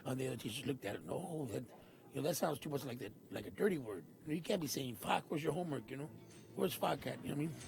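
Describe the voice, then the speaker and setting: A man casually telling a childhood story in a relaxed, conversational way. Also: mid-range voice, fast, gravelly, a little hiss or hum. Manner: relaxed, conversational, lots of "you know what I mean". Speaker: storyteller in a video clip. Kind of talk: casual anecdote.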